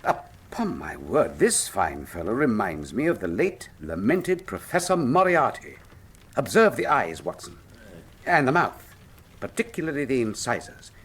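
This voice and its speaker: An actor playing a shrewd, urbane, wry detective in a radio drama, speaking formally and precisely with a theatrical, teasing manner. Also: male voice, mid-range voice, sing-song, a little slow, a bit bright, slightly rough, exaggerated voice. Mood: wry musing. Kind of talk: full-cast radio drama acting.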